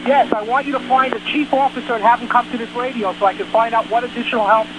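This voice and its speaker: A man's voice: extremely high pitch, steady tone, quick pace. A man working as a fire dispatcher, giving firm, commanding orders over emergency radio and repeating them. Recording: noisy emergency radio traffic. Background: loud radio noise.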